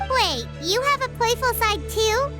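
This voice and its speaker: A woman voicing a small, chirpy, cheeky fairy girl companion in a video game, with a squeaky childlike voice. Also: animated, measured pace. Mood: curious. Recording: game music behind the voice.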